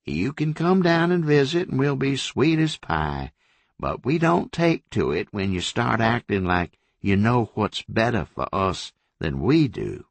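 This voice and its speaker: A man's voice: mid-range voice, sing-song, a little slow, creaky, no room sound, pitched above the performer's own voice, full character voice. A man voicing a smug, patronizing county sheriff who talks in a lazy Southern drawl.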